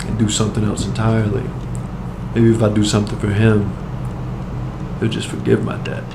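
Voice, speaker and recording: A low, gravelly male voice with a flat delivery, an actor as a desperate drug dealer on the run. He narrates his inner thoughts like a brooding crime drama, deliberate and streetwise, over clear background noise. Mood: brooding, scheming.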